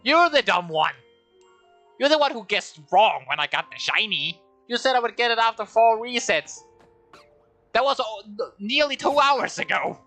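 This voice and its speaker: A man's voice: extremely high pitch, lively intonation, normal speed, bright, forceful tone, soft background music. A man streaming a game, rambling and joking at himself as he talks through it. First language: Danish. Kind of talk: casual game livestream commentary.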